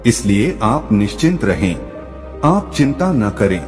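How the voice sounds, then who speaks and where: A patient crane husband played by a male narrator soothes his mate in a storybook tale. His low voice moves slowly through long reverb. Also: very animated. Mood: reassuring. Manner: gentle, reassuring. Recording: long reverb, music underneath.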